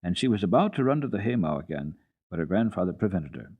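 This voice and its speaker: Deep, husky male storyteller, old radio. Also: big pitch swings, fast. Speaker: storyteller, radio program host. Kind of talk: dramatic reading of a children's book. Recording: muffled, lo-fi archival radio recording.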